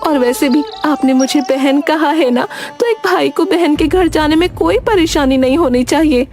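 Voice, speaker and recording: A woman's voice: high voice, moderate pace, a little mellow, soft background music. A woman playing an exasperated, practical village wife in a cartoon, with a pleading, nagging delivery. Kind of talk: Hindi cartoon dialogue.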